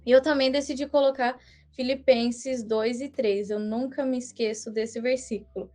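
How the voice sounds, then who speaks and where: A young woman with a slightly raised pitch talks as an interview guest, earnest and measured, restarting phrases now and then. Her first language is English. A little music plays faintly behind her. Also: steady tone, mellow. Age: young adult. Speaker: guest missionary. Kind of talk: casual podcast interview.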